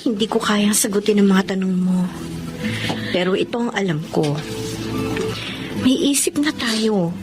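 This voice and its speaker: A woman's voice: medium pitch, a little slow, long pauses, music in the background, close to an everyday voice. A woman playing an earnest, dutiful, suffering young eldest daughter in a radio drama, with a tender manner. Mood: reassuring. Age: young adult. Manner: tender, pleading. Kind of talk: Tagalog radio drama acting.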